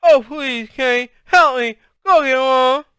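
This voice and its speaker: Man voicing a panicked, high-pitched mumbling boy. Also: slow, bright, forceful tone, clean tone, no echo, studio quality, exaggerated voice. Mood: desperate begging. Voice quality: muffled, mouth-full mumble. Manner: pleading, repeating cries for help. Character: panicked, crying boy with lips stuck fast.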